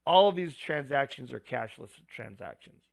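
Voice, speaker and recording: A gravelly, hoarse man talks through accounting homework one entry at a time, in plain words with small asides. He sounds close and dry, with no room around his voice. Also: medium pitch, lively intonation, normal speed, no echo. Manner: plain step-by-step talk with asides and fillers. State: going hoarse. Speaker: instructor. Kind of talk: screen-recorded homework walkthrough.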